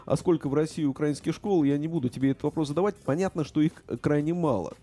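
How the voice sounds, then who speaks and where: A middle-aged man with a very dark, mellow voice. He talks casually about politics and stumbles often, filling pauses and restarting his sentences. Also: on the high side. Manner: hesitant, many fillers and restarts.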